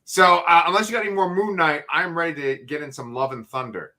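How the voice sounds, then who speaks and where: A middle-aged man co-hosting a casual live chat, excitable and swearing freely as he explains things. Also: a little high, quick pace. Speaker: co-host.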